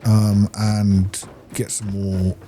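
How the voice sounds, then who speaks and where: A man's voice: fairly low pitch, completely flat delivery, drawn-out words, very noisy. A man giving casual gameplay commentary, muttering to himself as he plays. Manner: muttering to himself while playing. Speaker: gamer, video creator.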